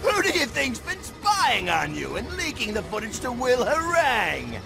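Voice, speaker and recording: An actor playing a bitter, jealous rival hero in a video game, furious and grandiose in a theatrical rant. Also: male voice, very high-pitched, wildly swooping pitch, a little slow, vocal fry, music in the background, heavily acted. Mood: accusing, boastful. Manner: theatrical, ranting. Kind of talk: video game cutscene voice acting.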